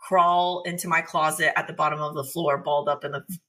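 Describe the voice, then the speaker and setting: Woman, slightly low voice with a bright, forward edge, confiding in casual conversation. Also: fairly even intonation, fast.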